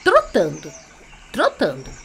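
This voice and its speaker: A woman's voice: on the low side, slow, creaky. A Brazilian woman telling a children's story theatrically and off the cuff, in a conversational way that checks in with listeners. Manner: animated, conversational, addressing listeners with check-ins.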